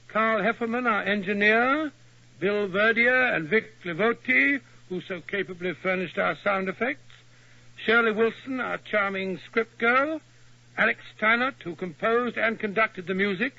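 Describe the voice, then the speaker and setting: A man's voice: sits high, lively intonation, normal speed, sharp tone, no echo, exaggerated voice. An actor playing a flustered middle-aged male doctor sidekick who stammers and blusters, in 1940s radio acting. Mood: genial. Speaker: actor and sign-off host. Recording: dull lo-fi 1940s radio broadcast.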